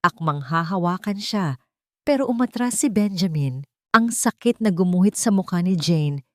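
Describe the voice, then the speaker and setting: Low-voiced woman narrating a drama. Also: animated, no echo, clean studio sound. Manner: emotive, suspenseful, dramatic pauses. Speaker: storyteller.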